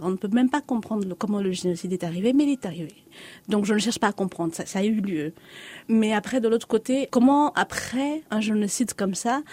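A woman in her thirties, from Congo-Kinshasa, giving reflective interview answers as an artist, measured and building on repeated phrases. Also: medium pitch, dark tone.

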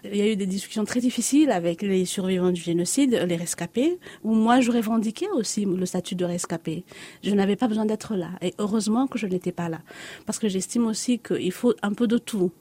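A woman in her thirties, from Congo-Kinshasa, giving reflective interview answers as an artist, measured and building on repeated phrases. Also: mid-range voice, warm tone.

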